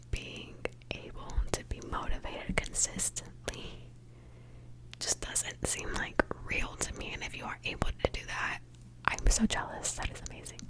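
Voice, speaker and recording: Soft-whispering young woman from Mexico. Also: low-quality recording. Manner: whispering softly, chatty and candid. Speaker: ASMR creator. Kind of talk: whispered ASMR Q&A.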